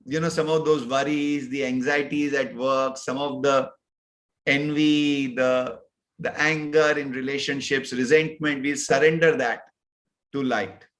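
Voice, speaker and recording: A man with a slightly husky voice, leading a guided meditation in a soft, gentle way over a video call. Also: mid-range voice, fairly even intonation. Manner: soft and slow, drawn-out pauses. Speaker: meditation trainer.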